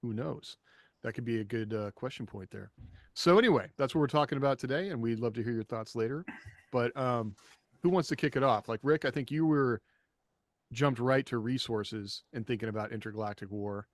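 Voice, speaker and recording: A man's voice: mid-range voice, fairly quick, no echo. Casual male podcast host, dry close sound. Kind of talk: casual podcast hosting and discussion.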